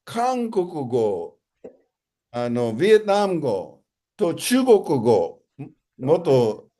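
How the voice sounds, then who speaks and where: A man's voice: fairly high pitch, wildly swooping pitch, slow, mellow. A talkative man asking questions and explaining things in a casual multilingual conversation.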